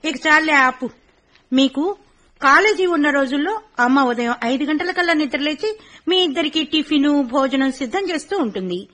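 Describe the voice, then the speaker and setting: A woman's voice: sits high, lively intonation, normal speed, a bit bright. An actress in her twenties playing the bossy elder daughter, a postgraduate student, in a radio play. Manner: bossy big-sister tone. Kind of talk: radio drama acting.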